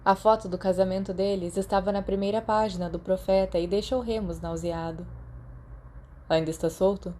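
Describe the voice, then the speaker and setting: Mellow Brazilian woman narrating a story. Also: medium pitch, steady tone, brisk, few pauses, slight background noise. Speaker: audiobook narrator.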